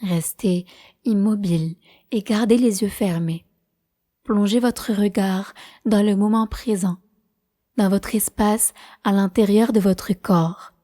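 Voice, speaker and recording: A woman leading a guided prenatal meditation as a teacher, speaking in a calm, measured, soothing way. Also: medium pitch.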